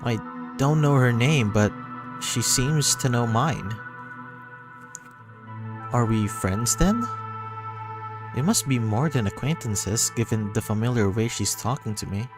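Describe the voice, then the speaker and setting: A man reading a visual novel as dramatic first-person narration, pausing between short phrases. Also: medium pitch, expressive. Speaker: reader voicing a visual novel. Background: music.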